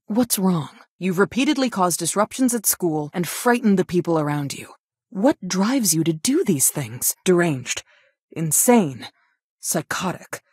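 Game role: stern female guidance counselor, measured and reproachful, slightly low register, dry studio sound. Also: slightly rough, dry recording. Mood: disappointed, concerned. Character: stern female school guidance counselor, adult, strict and disappointed. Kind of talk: video game voice acting, scolding lines.

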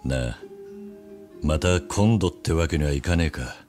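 An actor playing a stoic, reluctant young gangster of few words in a video game drama, speaking gruffly and tersely. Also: male voice, very deep voice, lively intonation, measured pace, darkish tone, faint music behind. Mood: hesitant. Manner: gruff, terse, laconic casual speech.

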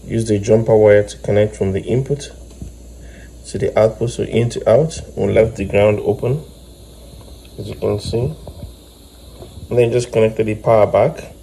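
A man's voice: lowish voice, normal speed, creaky, long pauses, dark tone, poor audio. A man giving an informal, step-by-step hands-on demonstration as the host of an electronics tutorial. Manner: explanatory, step by step. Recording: faint background noise.

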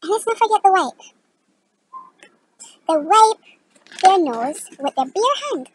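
A woman performing a theatrical audition monologue as an exasperated, emphatic complainer disgusted by sick people, with deliberate pauses. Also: extremely high pitch, animated, rough recording. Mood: disgusted complaint.

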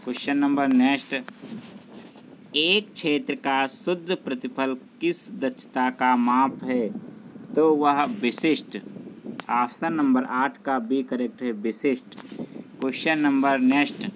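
A man's voice: mid-range voice, steady tone, unhurried, noisy background. A man working as an exam tutor, methodically reading out multiple-choice questions and their answers.

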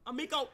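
Bright-voiced male stream host calling names. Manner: loud, rapid roll-call questions. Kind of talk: casual livestream hosting.

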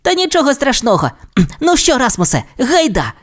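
A woman voicing an excitable, pushy and fearless little boy dinosaur in a children's story, eager and pleading. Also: high-pitched, normal speed, pitched above the performer's own voice, exaggerated voice. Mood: excited.

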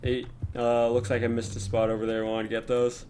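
A young man chatting casually while gaming, talking in halting bits with plenty of fillers. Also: mid-range voice, completely flat delivery, darkish tone, slight background noise. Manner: hesitant, many fillers. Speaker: channel host gamer.

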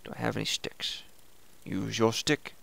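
A young man with a raspy voice, casually chatting and thinking aloud as he hosts a gameplay video. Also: lowish voice, unhurried, very noisy. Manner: relaxed, chatty, thinking aloud while playing. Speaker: let's play host.

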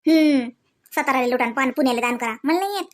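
Woman playing a very shrill-pitched, quarrelsome village gossip, Varhadi accent. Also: fast, lightly acted. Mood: grumbling. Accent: Varhadi Marathi. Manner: indignant, complaining, colloquial. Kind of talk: Marathi comedy cartoon dialogue.

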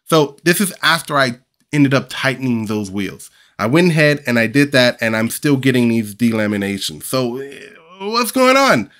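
A man's voice: mid-range voice, moderate pace. A man in his thirties with a nasal voice from a cold, talking animatedly to camera in a casual home-studio vlog, exasperated at times. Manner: animated, big hand gestures, exasperated asides. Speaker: 3D printing channel host. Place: small home studio room with collectibles, indoors. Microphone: over-ear headset, webcam framing.

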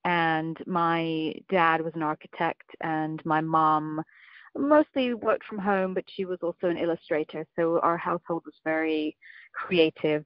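A woman's voice: sits low, dry recording, lo-fi sound. A woman in her forties with an English accent, a film producer telling her story in an interview, thoughtful and full of small restarts. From Scotland, raised in England. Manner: reflective, with frequent ums and restarts. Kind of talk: conversational interview.

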